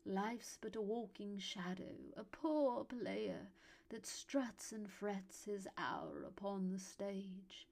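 A woman voicing a man who recites verse theatrically in a crisp English accent, slow and breathy. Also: medium pitch, big pitch swings, a little mellow, full character voice. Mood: grave, theatrical.

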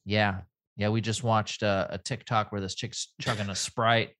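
A man's voice: on the low side, little pitch movement, moderate pace, a bit bright. Laid-back, rambling man podcaster. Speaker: podcast co-host. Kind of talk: casual podcast chat.

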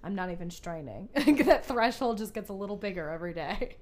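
A woman's voice: mid-range voice, animated, a little fast. Chatty young woman co-hosting a podcast. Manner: chatty, laughs often, acts out quotes. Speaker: podcast co-host. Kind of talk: casual chat.

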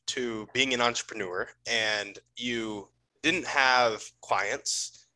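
A man's voice: mid-range voice, fairly even intonation, slow pace, sharp tone, low-quality recording. A man interviewing a guest, asking open questions in an encouraging, affirming way.

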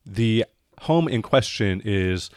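A man narrates a tabletop game as its game master. His voice sits fairly low and is recorded very dry, with an informal, improvised delivery. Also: lively intonation, slow, smooth, dry recording.

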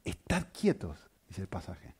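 A man preaching a sermon, asking the congregation rhetorical questions and speaking with lively emphasis. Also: deep voice, measured pace, slightly rough.

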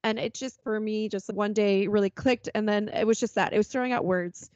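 A relaxed, chatty woman hosts a podcast and laughs easily. Her voice is recorded dry, with a slightly dull, lo-fi sound. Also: mid-range voice, fairly quick, no echo. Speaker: podcast host. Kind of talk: casual podcast chat.

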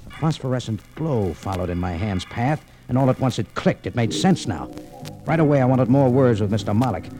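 An actor playing a tough, wry American adventurer in an old-time radio detective drama, speaking in clipped hard-boiled lines. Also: male voice, medium pitch, lively intonation, fairly quick, close to an everyday voice. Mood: tense narration. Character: tough, wry, unflappable American adventurer telling his tale. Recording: vintage radio recording, faint music.

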